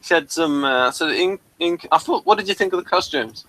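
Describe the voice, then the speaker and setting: A man with a fairly high, bright voice gives casual review commentary as a podcast co-host. He speaks haltingly, often repeating words while he works out his point. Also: lively intonation, no echo, poor audio.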